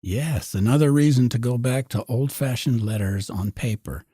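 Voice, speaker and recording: A man with a husky voice talking in a wry, musing way as a podcast host. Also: mid-range voice, normal speed, dark tone, no room sound, studio quality. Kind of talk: conversational podcast discussion.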